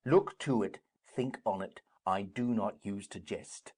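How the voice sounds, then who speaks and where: A man playing a furious, domineering father in a Shakespearean dramatic reading, with emphatic, halting delivery. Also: fairly low pitch, darkish tone. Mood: furious, threatening. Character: furious domineering father threatening to disown his daughter.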